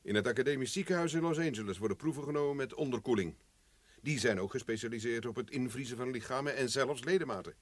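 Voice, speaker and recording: A man playing a calm, methodical scientist in an old radio play, explaining things in a measured way. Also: medium pitch, natural voice. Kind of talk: 1950s-style radio drama acting.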